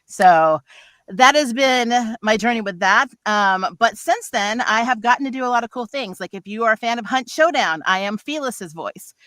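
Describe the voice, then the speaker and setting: A woman's voice: on the high side, animated, moderate pace, a bit bright, no echo. A middle-aged woman chatting casually on a livestream panel as a voice actor, candid and slangy with self-deprecating humor. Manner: informal slangy phrasing.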